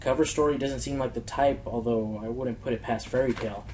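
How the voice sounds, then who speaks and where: A man's voice: mid-range voice, steady tone, brisk, darkish tone, far from the mic, slight background noise, natural voice. A man in a radio play voicing an earnest, exasperated pony radio host playing amateur sleuth. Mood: frustrated, pondering.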